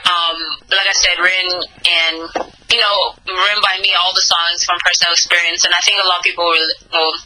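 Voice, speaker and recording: A woman's voice: on the low side, level tone, a little fast, bright, forceful tone, low-quality recording. A woman singer being interviewed, talking casually and rambling, with many fillers and restarts. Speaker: singer and songwriter, guest.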